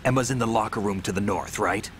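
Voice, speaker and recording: An actor playing a steady veteran soldier in a video game, terse and matter-of-fact. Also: male voice, lowish voice, fast talker, a little hiss or hum, close to an everyday voice. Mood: businesslike. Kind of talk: dramatic game cutscene acting.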